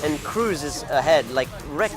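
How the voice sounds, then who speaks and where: A man's voice: on the high side, lively intonation, measured pace. Rambling male tournament commentator, music behind. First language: not English. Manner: chatty, rambling, laughs at himself. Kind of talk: casual fighting game commentary. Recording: music playing loudly behind.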